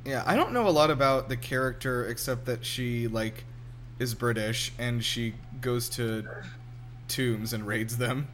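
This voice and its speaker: A man's voice: mid-range voice, measured pace, a bit bright, slight background noise. A man in his twenties hosting a casual film commentary chat, asking lots of questions as he goes. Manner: inquisitive, asks lots of questions. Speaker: podcast host.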